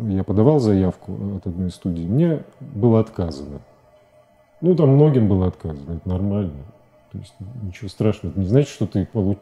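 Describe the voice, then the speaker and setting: A man in his forties talking as a guest in a studio interview, halting and repeating words as he searches for phrasing. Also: fairly low pitch, dull audio. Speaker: interview guest, animation director. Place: TV studio at a desk. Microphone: lapel mic.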